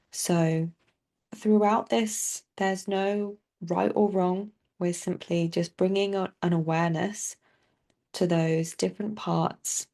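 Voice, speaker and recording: A woman leading a guided body scan meditation as a teacher, speaking softly and soothingly with long, unhurried pauses. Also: fairly low pitch.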